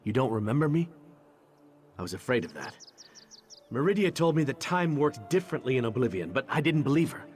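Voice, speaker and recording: An actor playing a charming, candid knight in a fantasy video game, wry and conversational, hesitant when confiding. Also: male voice, mid-range voice, very animated, a little fast, long pauses, darkish tone, slight background noise, close to an everyday voice. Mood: hesitant, earnest. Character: charming, candid male knight champion of a goddess. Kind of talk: video game character dialogue.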